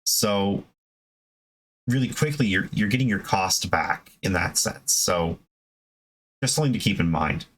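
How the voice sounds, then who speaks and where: A man hosting a hobby video, giving a casual, unscripted explanation in a conversational, halting way with self-corrections. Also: sits low, expressive, a bit bright, low-quality recording.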